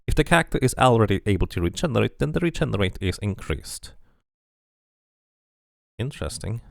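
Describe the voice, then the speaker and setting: A man's voice: lowish voice, big pitch swings, a little fast, creaky, dry recording, clean studio sound. A man doing a casual game playthrough, commenting and reading the game's text aloud. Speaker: game streamer.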